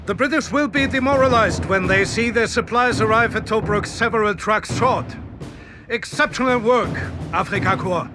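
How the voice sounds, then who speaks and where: An actor playing a German army officer, giving commanding mission briefings in a military video game. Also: male voice, high voice, normal speed, music in the background, close to an everyday voice. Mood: proud, satisfied. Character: urgent German Afrika Korps officer briefing troops.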